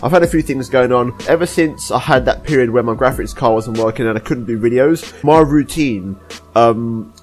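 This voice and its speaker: A young man, hoarse and deep-voiced from a cold, giving a casual, rambling update to his viewers as a gaming YouTuber. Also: normal speed, soft background music. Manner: rambling, apologetic, with many restarts.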